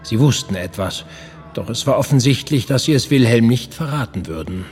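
A man's voice: mid-range voice, expressive, normal speed, a little mellow, soft background music, close to an everyday voice. An actor playing an earnest nineteenth-century investigator who narrates his own case in an audio drama. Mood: grave, suspenseful.

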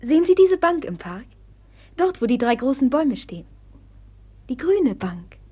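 An actress playing a capricious, high-strung young society lady in a German radio drama, with an impassioned, theatrical delivery. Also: big pitch swings, moderate pace, warm tone, reverberant. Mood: teasing, secretive.

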